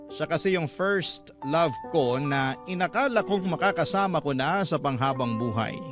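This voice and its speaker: A man's voice: on the high side, lightly acted. A man voicing a humble married working man who recounts his life in earnest, reflective radio drama narration. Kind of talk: first-person Tagalog radio drama narration. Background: guitar music.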